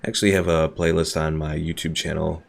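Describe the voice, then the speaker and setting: Deep, gravelly gaming streamer. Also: male voice, a little fast. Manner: relaxed, thinking aloud, chatting to viewers. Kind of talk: casual let's-play commentary. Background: game music.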